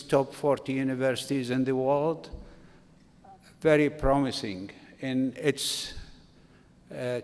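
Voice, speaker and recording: Older male conference speaker from Iraq, halting delivery, slightly high voice, reverberant hall. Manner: slow, halting delivery with restarts. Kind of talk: academic conference talk.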